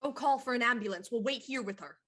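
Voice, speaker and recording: A woman acts out a female police inspector in an audio thriller, high and bright with a husky edge. She is commanding and desperate, barking orders with urgency. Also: fast. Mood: urgent.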